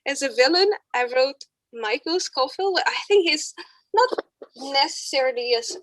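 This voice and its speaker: A woman's voice: high voice, lively intonation, measured pace, a bit bright, no room sound, low-quality recording. A young woman from Romania, a student introducing herself in an online workshop, chatty and searching for words. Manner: chatty and searching for words, with frequent yeah fillers.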